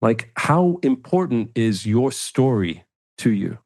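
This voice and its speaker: A man's voice: mid-range voice, big pitch swings, takes it slowly, a little mellow, dry recording, clean studio sound. A man hosting an interview, encouraging his guest and thinking aloud as he builds his questions. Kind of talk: podcast interview.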